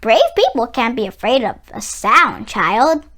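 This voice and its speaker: A woman voicing a kindly old grandmother in a storybook, gentle, steady and encouraging. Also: fairly high pitch, a little slow, full character voice.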